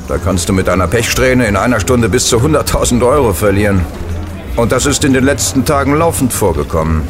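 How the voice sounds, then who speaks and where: An actor playing a chatty, superstitious casino gambler who gives knowing warnings in an audio drama. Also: male voice, mid-range voice, close to an everyday voice. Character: easygoing, superstitious casino gambler, male, worldly regular. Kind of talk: German audio drama dialogue.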